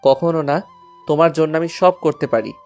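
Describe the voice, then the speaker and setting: A man's voice: on the high side, normal speed, a little mellow, faint music behind, close to an everyday voice. A man acting a devoted, lovestruck young man in a romantic audio story, tender, earnest and reassuring.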